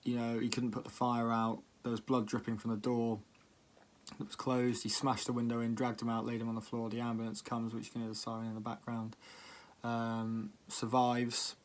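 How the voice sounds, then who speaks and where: A man reacting to music in a casual, conversational way. His pitch moves very little, and he rambles a bit, circling hesitantly around his points as he comments. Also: monotone, normal speed.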